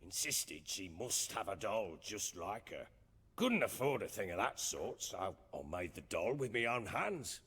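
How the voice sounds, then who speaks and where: An actor playing a gruff, proud middle-aged warlord and father in a video game, speaking in folksy, archaic, blustering phrases. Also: fairly low pitch, very animated, measured pace, a bit bright, big-room sound, heavily acted. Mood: wistful. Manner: blustering, folksy archaic speech, swinging emphasis. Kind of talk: dramatic video game acting.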